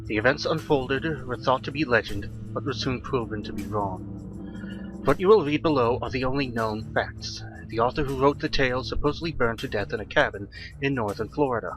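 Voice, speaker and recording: A man's voice: medium pitch, normal speed, low-quality recording. A man narrating a horror audiobook in a dramatic, scripted style. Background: faint music.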